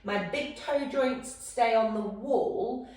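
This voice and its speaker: A woman guiding a posture exercise routine step by step, in a calm, instructive way. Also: on the high side, takes it slowly, recorded from a distance. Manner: calm step-by-step cueing, counting down. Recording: very echoey room, voice from off-mic.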